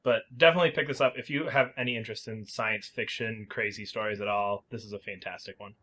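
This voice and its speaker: Bright-voiced male podcast co-host. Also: on the low side, fairly even intonation, quick pace. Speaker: podcast co-host reviewing video games. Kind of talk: casual opinionated game chat.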